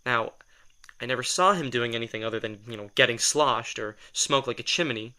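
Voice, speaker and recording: A man acts out a sardonic, exasperated guy in an audio drama. His voice is bright and forward at an ordinary male pitch. He rambles wryly and defensively, as if in casual conversation. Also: a little fast. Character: sardonic, exasperated male telepath, former personal assistant to a writer.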